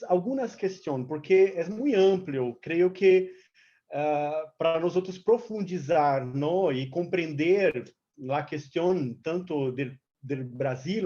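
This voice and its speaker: A woman's voice: fairly low pitch, dark tone. A Brazilian woman, a native Portuguese speaker, giving an informal seminar talk as an activist, mixing Portuguese and Spanish and searching for words, over a lo-fi online call. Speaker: activist speaker, movement representative. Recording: dull lo-fi online call audio.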